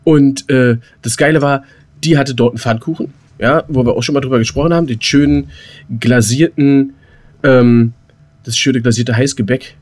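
A man's voice: mid-range voice, steady tone, normal speed, darkish tone. A man co-hosting a podcast, telling anecdotes in a rambling, colloquial, casual way. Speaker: podcast co-host.

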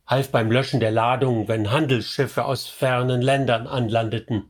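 A man's voice: mid-range voice, steady tone, close to an everyday voice. An actor playing a pious medieval man, solemnly recounting his hard journey in a radio drama. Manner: solemn, measured storytelling.

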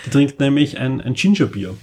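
A man's voice: mid-range voice, animated, brisk, darkish tone. A man with an Austrian accent hosting a casual podcast, rambling along with enthusiasm. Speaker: podcast host.

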